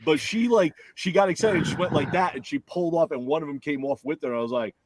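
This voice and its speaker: A man's voice: fairly high pitch, quick pace. A man from Rhode Island chatting casually as a co-host, telling rambling stories. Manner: animated storyteller, rambling. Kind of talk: casual chat.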